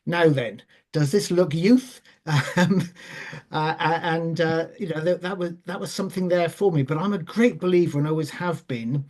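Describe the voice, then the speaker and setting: Man, 60s, measured storyteller on a video call, voice sits a bit high. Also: darkish tone. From Wales. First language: Welsh. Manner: measured, with frequent ums and self-repeats. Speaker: forum guest speaker. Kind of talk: online forum talk, telling a personal story.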